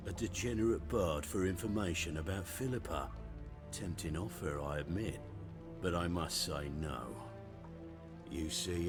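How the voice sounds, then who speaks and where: An actor playing a cold, smugly pompous middle-aged witch-hunter commander in a video game, speaking formally with sardonic, measured phrasing. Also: low-pitched.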